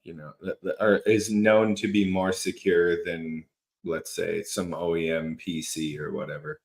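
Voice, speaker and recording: A man's voice: sits low, little pitch movement, measured pace. A man hosting a podcast, asking a guest questions in a loose, casual way with some hesitation. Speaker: podcast host. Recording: echoey room.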